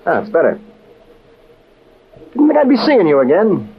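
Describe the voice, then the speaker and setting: Casting: hardboiled American adventurer, wry and slangy, highly animated pitch, old radio serial with muffled fidelity. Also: male voice, moderate pace. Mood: wry, flirtatious. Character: tough, wisecracking American male adventurer on the run. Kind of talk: old-time radio adventure acting and first-person narration. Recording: dull, noisy vintage radio recording.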